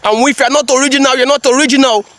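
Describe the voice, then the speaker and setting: A man's voice: very high-pitched, fairly even intonation, racing, a bit bright, no room sound. A man giving a preachy, emphatic reaction commentary, repeating phrases for effect. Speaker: commentary vlogger.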